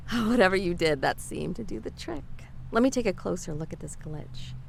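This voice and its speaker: A woman's voice: fairly low pitch, animated, normal speed, vocal fry, darkish tone, slight background noise, poor audio. A woman playing a wry, skeptical scientist in an audio drama, talking in a dry, sardonic, conversational way. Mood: alarmed, focused. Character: wry, skeptical female scientist, the curator's old friend. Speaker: voice actor.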